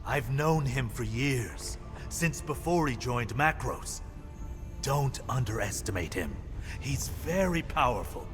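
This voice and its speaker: Fantasy game advisor, cautionary, grave, medium-pitched male voice, deliberate, long reverb, background music. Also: animated. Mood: grave warning. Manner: deliberate, serious. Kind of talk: video game cutscene acting.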